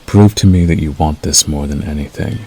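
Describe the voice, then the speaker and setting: A man voicing a possessive, menacing male werewolf lover in an ASMR roleplay, slow and deliberate, coaxing and threatening with a gravelly growl. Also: rumbling low, a little mellow. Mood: commanding, possessive. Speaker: audio roleplay voice actor. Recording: faint background noise.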